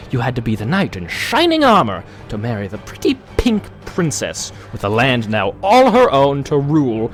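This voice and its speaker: A man's voice: mid-range voice, natural voice. A man playing a bitter, power-hungry pony guard turned traitor, sneering theatrically in a cartoon audio drama. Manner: sneering, theatrical villain monologue. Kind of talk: scripted audio drama acting.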